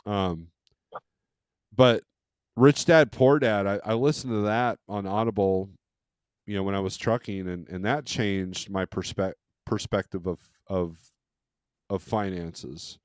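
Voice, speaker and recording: Man, fifties, American accent, smooth low voice, casual storytelling, very dry sound. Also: slow, dry recording. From California, United States. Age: 50s. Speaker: host, truck driver and dispatcher. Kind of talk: casual open forum chat, trucking stories.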